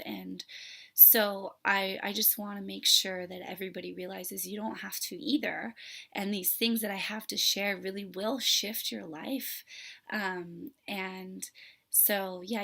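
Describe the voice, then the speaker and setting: A woman parenting instructor, hoarse from a sore throat, talking earnestly to camera with many pauses and fillers. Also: mid-range voice, sharp tone. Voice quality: raspy. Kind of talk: casual talk to camera.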